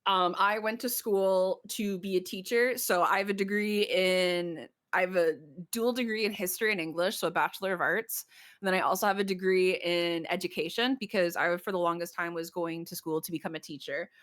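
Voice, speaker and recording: A woman's voice: lowish voice, steady tone, brisk, a bit bright. A woman chatting casually as a guest in an interview. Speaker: interview guest, community manager. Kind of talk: casual chat.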